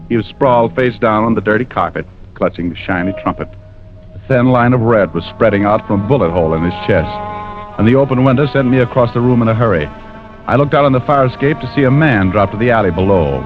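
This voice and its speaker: A man's voice: fairly low pitch, natural voice. A man playing a tough, cynical American private detective in an old radio drama, with wry, clipped hardboiled delivery. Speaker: lead actor and narrator. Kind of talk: 1940s-50s radio drama acting, hardboiled narration. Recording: muffled old-time radio recording with music.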